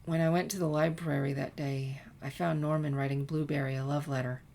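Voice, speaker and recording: A woman's voice: deep voice, steady tone, fairly quick, gravelly, darkish tone. A woman voicing a regretful teenage girl who confesses past scheming in a hesitant, reflective way, in a story reading. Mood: reflective, rueful.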